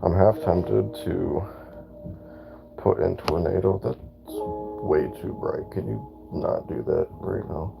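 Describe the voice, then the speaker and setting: Deep gravelly man streaming a game. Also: slow, music in the background. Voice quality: raspy. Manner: laid-back, chatty, reads out chat comments. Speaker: gaming streamer. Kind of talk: casual live gameplay commentary.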